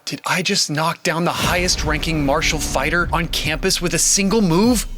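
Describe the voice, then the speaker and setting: A man's voice: a little high, moderate pace, background noise, natural voice. A man playing a young, newly powerful student hero, narrating in the first person in a dramatic, suspenseful, defiant manner. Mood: shaken awe.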